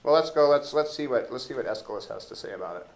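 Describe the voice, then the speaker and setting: A man's voice: mid-range voice, lively intonation. An American man leading an informal classroom discussion of a play, rambling and joking as he feels his way through. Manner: halting, rambling, colloquial. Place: indoor classroom. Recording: roomy classroom echo, background hiss.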